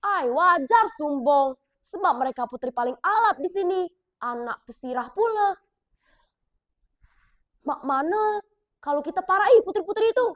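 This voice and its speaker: Two cocky young village men trade loud, quick banter with a South Sumatran Malay accent, as a female storyteller acts them out. The voice stays high and boisterous. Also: expressive, muffled recording. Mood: boastful. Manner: brash, boisterous, fast banter.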